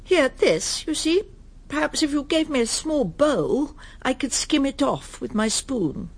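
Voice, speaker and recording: A woman playing a prim, weary, middle-aged English lady in a radio drama, halting and polite, murmuring to herself with an English accent. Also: high-pitched, lively intonation, heavily acted. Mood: strained politeness. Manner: polite, halting, breathy murmured self-talk. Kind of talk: radio drama acting, intimate monologue and dialogue.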